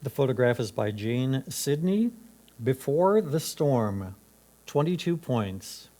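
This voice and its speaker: A man's voice: mid-range voice, very animated, slow, warm tone. A man acting as a competition announcer, reading out names, titles and scores in a formal style.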